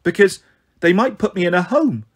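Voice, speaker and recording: A man's voice: high-pitched, expressive, moderate pace, husky, pitched above the performer's own voice. Man voicing an anxious, pleading young girl. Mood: desperate. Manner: urgent, pleading.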